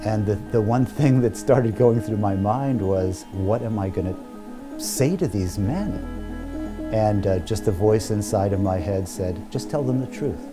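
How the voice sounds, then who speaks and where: Man, lowish pitch, reflective interview storytelling, music underneath. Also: unhurried. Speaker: theater professor.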